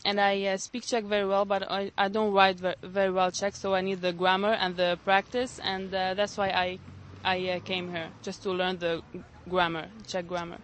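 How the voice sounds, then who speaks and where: Young female student from Lebanon, flat intonation, informal rambling English, faint noise behind. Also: mid-range voice, moderate pace, hardly any pauses. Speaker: language course student. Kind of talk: casual interview answers.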